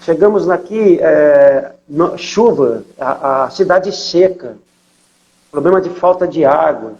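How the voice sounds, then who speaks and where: An older man with a São Paulo accent tells his missionary stories in a relaxed, conversational way, dropping in filler words and checking in with his listener. His voice sits a little high for a man, and the recording sounds dull and lo-fi. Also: slow pace. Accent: São Paulo Portuguese. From São Paulo, Brazil. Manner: storytelling, many fillers and tag questions. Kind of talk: conversational testimony interview.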